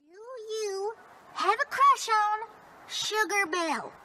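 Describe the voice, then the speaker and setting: A woman voicing an excitable, nosy and earnest young girl pony in a cartoon. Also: very high voice, takes it slowly, a little hiss or hum, full character voice. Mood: nosy, insistent. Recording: cartoon clip with loud music. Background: music.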